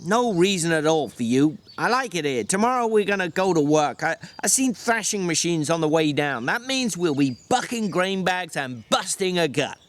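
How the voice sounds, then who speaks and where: A man acts out a bossy, irritable working man from a dramatized novel. His voice is pitched up, brusque and scolding, that of a gruff protector. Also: sing-song, normal speed, hardly any pauses. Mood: matter-of-fact, firm. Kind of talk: dramatic audiobook reading.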